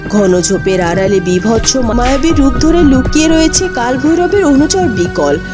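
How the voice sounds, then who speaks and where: A woman's voice: high voice, very animated, normal speed. A woman giving a dramatic scripted narration of a cartoon fairy tale. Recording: music behind the voice.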